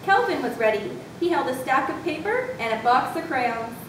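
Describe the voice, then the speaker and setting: A woman's voice: very animated, moderate pace. A woman reading scripted story narration as a narrator in amateur readers' theatre. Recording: distant, very echoey room, noisy with rumble.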